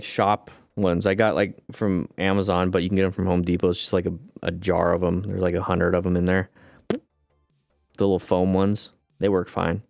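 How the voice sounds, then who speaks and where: Smooth-voiced man in his thirties. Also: sits low, dry recording, studio quality. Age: 30s. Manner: rambling, relaxed, talks to chat as "guys". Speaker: livestream host. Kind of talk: casual livestream chat, reading viewer comments.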